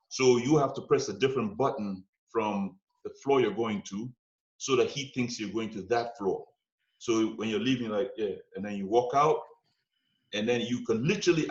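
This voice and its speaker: A man casually telling long personal stories, animated and conversational, often checking in with "you know what I'm saying.". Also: medium pitch. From United States. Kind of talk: casual storytelling chat. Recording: roomy, dull lo-fi podcast sound.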